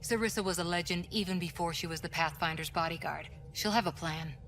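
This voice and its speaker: A woman's voice: fairly low pitch, a little fast. A woman playing an earnest, capable soldier and respectful second-in-command in a video game. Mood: focused. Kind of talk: video game cutscene acting. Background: loud game music.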